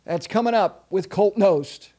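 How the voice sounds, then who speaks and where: High-voiced emphatic male host. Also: warm tone, faint music behind. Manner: emphatic, halting restarts when asking questions. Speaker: podcast host. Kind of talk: interview hosting.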